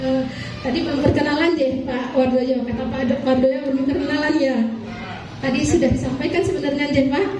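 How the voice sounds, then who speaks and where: A woman's voice: high-pitched, steady tone, fairly quick, music in the background. A woman from Aceh giving a formal talk to community leaders, hesitant with many fillers and polite apologies. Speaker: speaker at a training session for neighborhood leaders. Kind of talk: formal public address. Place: meeting hall. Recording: echoey hall, voice from far off.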